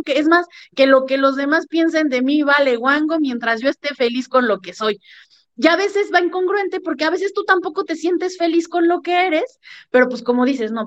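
Emphatic woman, muffled sound. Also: sits high. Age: 30s. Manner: emphatic, lively storytelling. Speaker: podcast guest. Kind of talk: conversational podcast talk.

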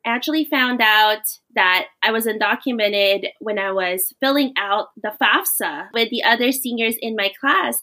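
A woman's voice: a little high, a bit bright. A young woman from the Philippines who works as a teacher, telling her story earnestly as a podcast guest. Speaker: guest, teacher and doctoral student. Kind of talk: podcast interview.

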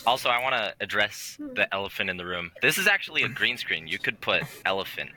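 A man's voice: lowish voice, sing-song, fast, pushed and bright, low-quality recording. A man on a podcast panel chatting casually and jokingly.

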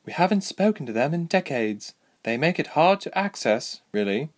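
A man's voice: on the high side, big pitch swings, moderate pace, pitched above the performer's own voice. A man voicing an easygoing female elf fighter with a dryly teasing manner, in a fantasy storybook. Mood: friendly, teasing.

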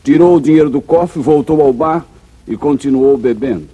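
Casting: man to play treacherous western outlaw, Brazilian accent, husky and mellow-toned, menacing, 1950s radio melodrama. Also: medium pitch, moderate pace, lightly acted. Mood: insistent. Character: cold, treacherous male outlaw and murderer. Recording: muffled vintage radio drama recording.

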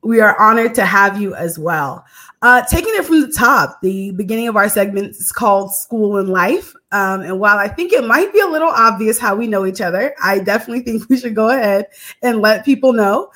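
A young woman from Texas hosting a podcast interview, earnest and measured, with frequent pauses and fillers. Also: mid-range voice, expressive, fairly bright tone. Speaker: podcast host.

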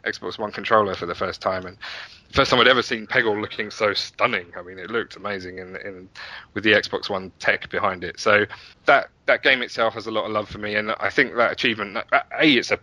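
A man's voice: on the low side, steady tone, fairly quick, sharp tone, dry recording, low-quality recording. A man speaking as an interview guest, chatting casually and telling stories with enthusiasm. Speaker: podcast guest, app and website developer.

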